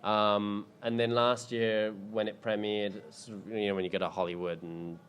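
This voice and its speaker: Deep-voiced man, rambling. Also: little pitch movement, moderate pace, fairly bright tone, a little hiss or hum. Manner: rambling, hesitant, many ums and restarts. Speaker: filmmaker answering audience questions. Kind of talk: casual panel Q&A. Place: indoor screening event.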